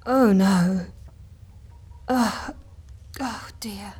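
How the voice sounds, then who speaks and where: A woman's voice: medium pitch, very slow, darkish tone, slightly rough, full character voice. An actress playing an exasperated, overworked agency woman in an audio comedy, flustered and emphatic in manner. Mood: anxious dismay. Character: exasperated, overworked agency woman barely holding chaos together. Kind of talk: audio comedy fiction acting.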